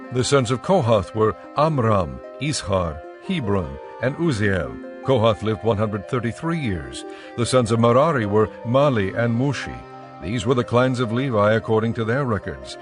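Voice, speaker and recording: A man narrating scripture from a script in a measured, formal storytelling manner. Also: lowish voice, expressive, music in the background.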